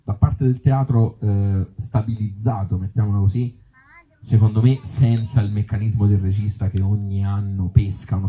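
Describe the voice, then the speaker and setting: A man's voice: sits low, mellow, rough recording. A middle-aged man with a Tuscan accent talking off the cuff to a live audience as an interviewed actor, colloquial and wry, with some mild swearing. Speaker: actor and author being interviewed. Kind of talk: spontaneous talk to a live audience.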